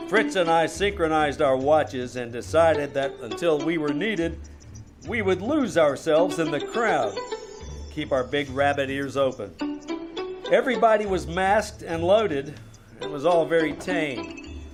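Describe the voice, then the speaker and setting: A man's voice: a little high, lively intonation, a little slow, music in the background. A man playing a wry, wisecracking private detective, dryly narrating and talking in an old-time radio comedy. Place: live small-town theater stage.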